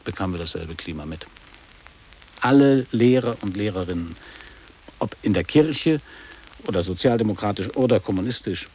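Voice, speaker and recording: A man acts a middle-aged former boarding-school pupil in a literary radio play. His voice sits fairly low and he reminisces in clipped, declamatory phrases, with clear noise behind him. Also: a little mellow, lightly acted. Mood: insistent. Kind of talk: literary German radio drama monologue.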